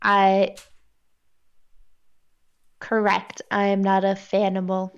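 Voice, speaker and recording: A woman's voice: mid-range voice, completely flat delivery, slow, clear, resonant tone, dull audio. A woman chatting casually as a tabletop game player, blunt, opinionated and sweary. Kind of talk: casual chat.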